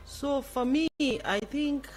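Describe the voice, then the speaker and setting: A woman's voice: sits high, takes it slowly, darkish tone, faint music behind. A woman with a Nigerian accent giving considered, explanatory answers as a radio guest. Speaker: guest, foundation founder. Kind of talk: interview answers. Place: radio studio.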